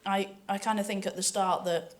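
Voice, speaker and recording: A woman's voice: mid-range voice, fairly even intonation, moderate pace. A woman speaking informally and unscripted, as a voice actor in a panel discussion.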